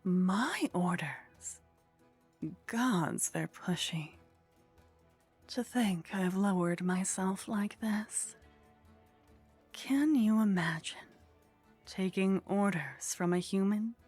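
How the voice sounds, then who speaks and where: A woman playing a haughty, flirtatious vampire in an intimate ASMR roleplay, speaking softly and close to the microphone with a teasing, condescending manner that often drops toward a whisper. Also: mid-range voice, extremely slow, pauses often, faint music behind. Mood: disdainful, wry. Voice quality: soft, breathy.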